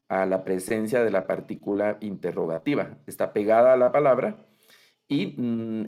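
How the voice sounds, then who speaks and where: A man with a Latin American Spanish accent, teaching a language class in a low, gravelly voice. He explains step by step at a patient pace and keeps asking his listeners whether they follow. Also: a little mellow. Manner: patient, explanatory, frequent "¿verdad?" check-ins. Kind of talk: online class lecture.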